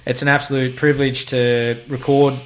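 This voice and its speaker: Male interviewer, mid pitch, relaxed matey delivery, frequent restarts. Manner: matey and halting, says "mate" often. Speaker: podcast host. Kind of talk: casual interview. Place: guest's house.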